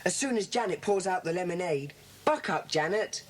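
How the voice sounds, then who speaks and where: A woman playing a bossy, impatient schoolboy leader of a secret club in a children's audio drama, speaking in a brisk, commanding way. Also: lowish voice, animated, natural voice. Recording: faintly noisy old cassette.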